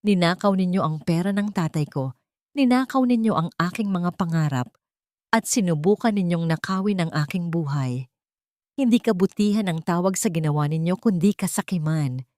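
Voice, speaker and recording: A woman voicing a wronged young woman in an audio drama, measured, emotive and resolute. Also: lowish voice, animated, darkish tone, no room sound, clean studio sound, natural voice. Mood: cold defiance. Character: wronged young woman, calm, steely, wounded but resolute.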